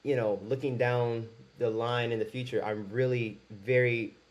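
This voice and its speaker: A man's voice: medium pitch, level tone, moderate pace. A man in his twenties talking candidly to camera in his first video, rambling a little and restarting his sentences. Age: 20s. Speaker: YouTuber. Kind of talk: casual talk to camera.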